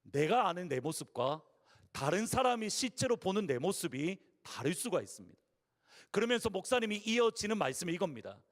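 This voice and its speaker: A man with a Gyeongsang (Busan) accent preaching a Sunday sermon as pastor. His voice is hoarse, and he tells stories earnestly and asks the congregation rhetorical questions. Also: sits high, very animated, a little fast. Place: large church sanctuary. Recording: long reverb of a church hall.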